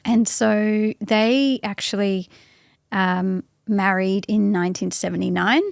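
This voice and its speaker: An Australian woman, an interview guest, speaking thoughtfully in a casual conversation with many pauses and restarts. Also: mid-range voice, steady tone, gravelly, clear, resonant tone, no room sound, clean studio sound.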